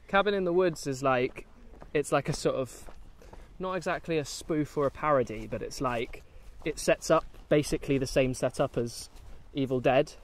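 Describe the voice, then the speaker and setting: A man's voice: medium pitch, moderate pace. A man with an English accent chatting casually about films on a walk outdoors, musing and searching for his words. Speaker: film review vlogger. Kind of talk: casual film chat while walking. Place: outdoors, walking in woods.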